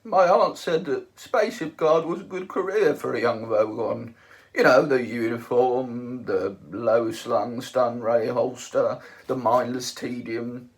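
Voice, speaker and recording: A man voicing a dim, slow-thinking alien guard with a booming, hesitant delivery in an audiobook reading. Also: lightly acted. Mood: ponderous.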